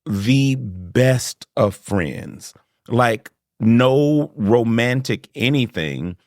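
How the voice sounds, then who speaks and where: A man's voice: mid-range voice, lively intonation, drawn-out words, darkish tone, no room sound, clean studio sound. A man hosting a podcast, chatting casually and animatedly, laughing often and acting out quotes. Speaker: podcast host. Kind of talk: casual chat.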